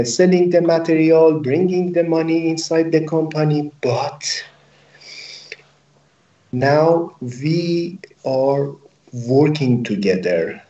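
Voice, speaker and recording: A man in his forties from Iran with a Persian accent, a native Persian speaker explaining things as an interviewed company director in deliberate, halting English on a video call. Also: a little high, dark tone. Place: indoor office room with hard ceiling. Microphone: video call, laptop at desk distance. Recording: echoey, distant, muffled video-call audio.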